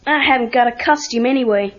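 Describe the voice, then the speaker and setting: A woman's voice: a little high, fast, close to an everyday voice. A woman playing a guarded, earnest teenage boy with an American accent and casual delivery in an audio story. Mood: glum. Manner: casual, defensive. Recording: dull cassette tape with music.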